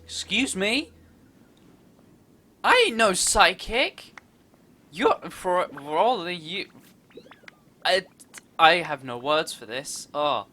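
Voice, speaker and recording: A man's voice: high voice, sing-song, slow, pauses often, sharp tone. A man doing casual, joking gameplay commentary, reacting and repeating himself. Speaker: gaming streamer.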